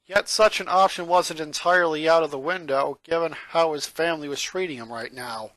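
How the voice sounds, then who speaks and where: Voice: mid-range voice, brisk, a bit bright, no echo, poor audio. A narrator reading a fan-fiction story aloud in a scripted storytelling style.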